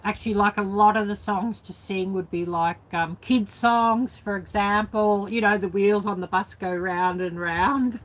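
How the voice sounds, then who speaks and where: A woman's voice: medium pitch, fairly even intonation, measured pace, hardly any pauses, rough recording. A woman vlogger reminiscing in a casual, unscripted way, rambling and colloquial, with lots of filler phrases. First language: English. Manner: rambling, colloquial, peppered with "you know" and "sort of". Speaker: YouTube vlogger.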